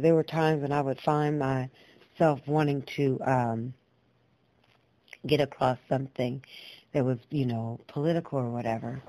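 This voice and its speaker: A woman telling personal stories in a reflective, earnest, deliberate way, repeating phrases for emphasis, with clear, proper diction. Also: low voice, warm tone, no room sound, low-quality recording. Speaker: guest storyteller, poet and singer.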